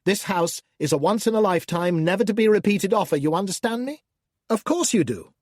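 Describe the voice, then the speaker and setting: A man's voice: high voice, animated, brisk, dry recording, clean studio sound. A man reading as a taunting demon. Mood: scornful. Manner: taunting, theatrical, swings between hushed and loud. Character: scornful, menacing male demon addressing the reader. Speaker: audiobook narrator. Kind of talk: first-person audiobook narration.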